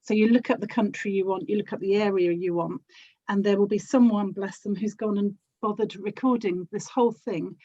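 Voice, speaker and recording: A woman's voice: mid-range voice, fairly even intonation, a little fast, dark tone, lo-fi sound. A woman chatting casually and expressively as an interview guest, with an enthusiastic manner. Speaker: guest, audio drama actor and producer.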